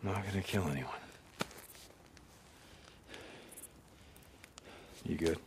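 A man's voice: low-pitched, racing, background noise. An actor playing a weary, guarded outlaw biker drifter, loyal to his friend, in a video game. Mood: concerned. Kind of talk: cinematic video game acting.

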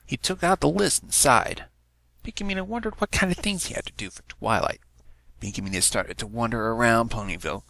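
A man's voice: lowish voice, creaky, sharp tone, no room sound, poor audio. A man narrating a fan fiction story, reading aloud from a script.

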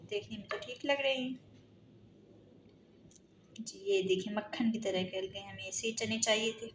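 A woman hosting a home cooking channel, calmly walking through each step of a recipe and often pausing to check in with viewers. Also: mid-range voice. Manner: calm, instructive, often ends steps with a check-in. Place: home kitchen, indoors. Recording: echoey room with sizzling noise. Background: frying and sizzling from the pan.

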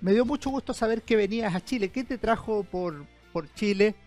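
A middle-aged man with a notably high voice hosts a studio interview. He asks long, winding questions in a friendly tone over a headset mic, with faint music underneath. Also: moderate pace, a little mellow. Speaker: historian and TV show host. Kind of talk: interview hosting. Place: TV studio set.

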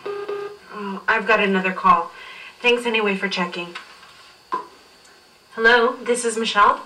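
A woman acting as a smooth-talking, pushy saleswoman in a workplace training drama. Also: medium pitch, lively intonation, a little slow, pushed and bright. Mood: pressing. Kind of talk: acted corporate training drama. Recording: distant, re-filmed off a TV screen.

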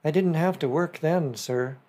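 A man's voice: a little high, a little mellow, voice pushed up. A man doing an eager teenage newsboy. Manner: eager, respectful, quick. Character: shrewd earnest 15-year-old newsboy, polite.

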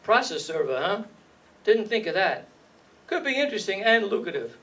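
Hardboiled radio gumshoe, played by a man: sardonic wisecracks in a high voice, distant hall mic with faint noise. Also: very animated, moderate pace, fairly bright tone, full character voice. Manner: wry, sardonic. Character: wisecracking, streetwise male hardboiled radio detective. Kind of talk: 1950s radio noir acting and narration. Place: live stage reading in a meeting hall.

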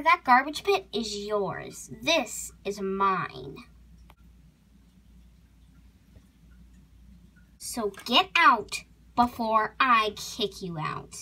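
A woman's voice: high voice, sharp tone, full character voice. A woman voicing a bossy, melodramatic, easily upset female horse in a whiny, theatrical way for an animal role-play drama.

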